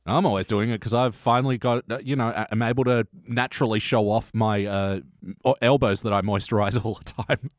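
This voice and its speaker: A man's voice: on the low side, steady tone, brisk, no room sound, studio quality. A man hosting a casual comedic review podcast, joking and swearing freely. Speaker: podcast host. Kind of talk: casual comedic chat reviewing a cartoon episode.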